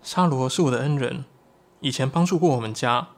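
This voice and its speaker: A man performs an earnest, excitable young man of a shapeshifting tribe in an audio drama. His voice sits in a middle register. He explains things eagerly and expressively. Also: mid-range voice, a little fast, lightly acted. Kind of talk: Chinese audio drama acting.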